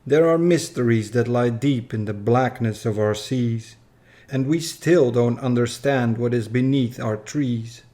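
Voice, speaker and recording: Guy reading a poem like a storyteller, slow n measured, mid pitch, kinda formal. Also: mellow. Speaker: reader of a poem. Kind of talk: scripted poetry narration, storytelling.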